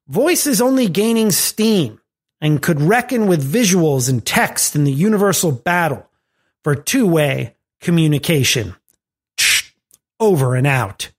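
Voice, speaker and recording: A man hosting a tech and marketing podcast, reading a scripted segment with lively rising and falling emphasis. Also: a little high, slightly rough. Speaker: podcast host.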